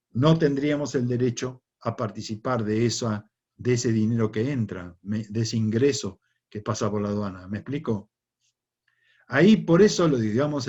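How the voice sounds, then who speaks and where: A man's voice: medium pitch, darkish tone, lo-fi sound. A man with an Argentine accent teaching a history class, lecturing conversationally and often checking in with rhetorical questions. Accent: Argentine Spanish.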